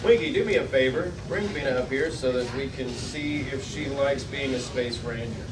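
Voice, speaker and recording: A man's voice: mid-range voice, normal speed, close to an everyday voice. A man playing a stern, chauvinist space ranger captain, reading 1950s space serial dialogue at a table read. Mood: commanding. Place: busy restaurant table read. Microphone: distant camera microphone. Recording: echoey noisy restaurant, far from camera.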